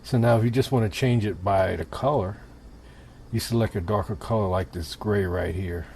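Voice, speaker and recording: A man with a low voice gives an informal tutorial, talking through each step while he demonstrates. His delivery is casual and conversational. There is some noise behind him on the recording. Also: moderate pace. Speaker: tutorial presenter. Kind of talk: casual software tutorial narration. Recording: clear steady background noise.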